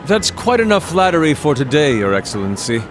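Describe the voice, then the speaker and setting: A resolute, higher-voiced prince. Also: male voice, big pitch swings, fairly quick. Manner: formal, firm, declarative. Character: dignified, resolute prince leading allies. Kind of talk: dramatic video game cutscene acting. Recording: clear music behind.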